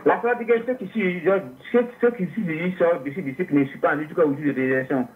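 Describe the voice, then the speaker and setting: A man argues religion over a narrow, dull phone line. He speaks haltingly, repeating words several times before he finishes a thought. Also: fairly high pitch. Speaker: phone caller. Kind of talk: religious debate.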